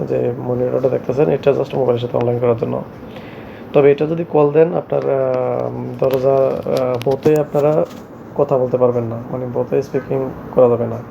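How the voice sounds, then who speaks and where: A man presenting a hands-on tech tutorial in a halting, repetitive, step-by-step way. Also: mid-range voice, gravelly, highs cut off, background noise. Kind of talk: hands-on product tutorial narration. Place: shop, indoors.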